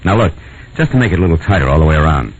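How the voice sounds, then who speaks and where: A man's voice: a bass voice, sing-song, very fast, slight background noise, heavily acted. A man with an American accent playing a tough, world-weary private detective in a 1940s radio drama, brusque and wisecracking, both in scenes and narrating. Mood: probing. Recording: dull old radio recording with music.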